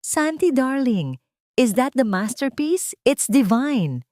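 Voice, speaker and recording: A woman's voice: high-pitched, very animated, a little mellow, no echo, clean studio sound, pitched above the performer's own voice, exaggerated voice. A woman narrator playing a haughty, glamorous rich mistress with a gushing, mocking manner. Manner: gushing, mocking, drawn-out English endearments.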